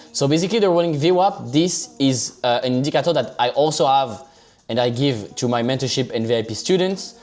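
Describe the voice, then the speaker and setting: A man with a French accent at a mid pitch talks his viewers through charts in a loose, chatty livestream. He keeps checking in with his audience and restarts sentences as he goes. Soft music plays quietly underneath. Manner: loose and chatty, keeps saying "so basically" and "okay?". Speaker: livestream crypto trader. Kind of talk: casual live trading commentary.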